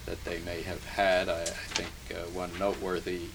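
An older man born in Hungary and raised in New Jersey, recalling his early life in an oral history interview, slowly and haltingly, with many pauses and fillers. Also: sits low, monotone, creaky. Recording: noisy archival tape recording.

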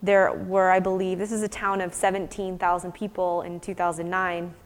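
A woman's voice: lowish voice, level tone, normal speed. An American woman researcher giving an academic talk and answering questions informally, thoughtful and explanatory in her phrasing. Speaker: researcher presenting fieldwork and answering audience questions. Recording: long hall reverb.

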